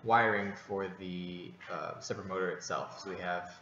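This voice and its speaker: A young man giving a halting technical walkthrough of a student project. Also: sits low, vocal fry, pushed and bright. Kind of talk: technical project demo narration. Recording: noisy, dull, echoey room.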